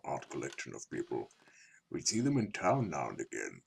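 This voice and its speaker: A man playing a sharp old islander in his eighties, reminiscing in folksy clipped phrases for an audiobook drama, gravelly and husky. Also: rumbling low, wildly swooping pitch, moderate pace, exaggerated voice. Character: 83-year-old island uncle, sharp, gossipy old local.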